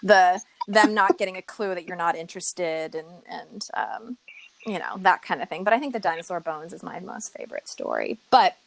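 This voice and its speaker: A woman's voice: fairly low pitch, very animated, normal speed, vocal fry, poor audio. A woman in her forties telling dating stories in a casual chat, full of likes and ums. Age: 40s. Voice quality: chatty storyteller, many filler likes and ums. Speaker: guest.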